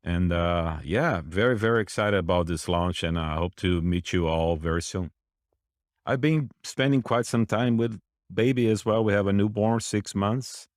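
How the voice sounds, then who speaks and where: A man from Brazil with a very low voice. He talks in a relaxed, hesitant way with small restarts. The recording is dry. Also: moderate pace, dry recording. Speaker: instructor.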